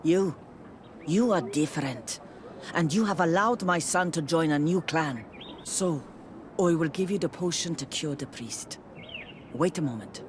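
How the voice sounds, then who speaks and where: A proud herbalist mother of an island clan in a fantasy game. She is blunt and terse, with a low female voice over faint outdoor noise. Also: expressive, unhurried, long pauses. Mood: proud, stern. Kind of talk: video game dialogue acting. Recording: outdoor ambience noise behind voice.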